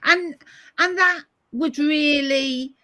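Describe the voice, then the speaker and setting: A woman hosting a live-stream conversation over a video call, hoarse today, thoughtful as she reads out viewer comments. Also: high voice, very slow, sharp tone, no room sound. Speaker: host.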